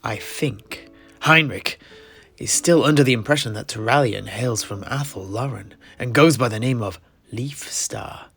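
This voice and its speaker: Man playing a formal, deliberate fantasy tavern keeper. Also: medium pitch, gravelly, fairly bright tone, husky, faint music behind, exaggerated voice. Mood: confiding, cautious. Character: courteous, scholarly tavern keeper and amateur historian, master to apprentices. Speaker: host. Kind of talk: in-character fantasy audio play.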